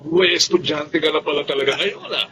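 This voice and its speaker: An actor playing a jovial, teasing middle-aged Filipino friend in a radio drama, chummy and exclamatory. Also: male voice, fairly high pitch, fairly quick, pushed and bright, low-quality recording. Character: jovial, teasing middle-aged male buddy, openly envious. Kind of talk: Tagalog radio drama acting.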